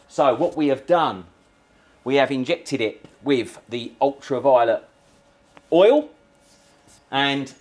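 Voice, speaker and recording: A man's voice: medium pitch, darkish tone. A man in his forties with a British accent, explaining a repair step by step in a casual, matey way. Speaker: car mechanic and video presenter. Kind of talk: hands-on how-to demonstration, casual. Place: cluttered car repair garage, indoors. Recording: clear workshop background noise.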